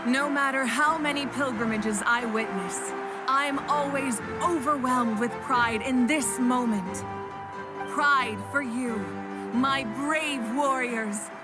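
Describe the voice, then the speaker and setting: A woman's voice: high-pitched, slow pace. A woman playing a solemn, proud and commanding female war leader in a video game, over music. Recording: loud music behind the voice.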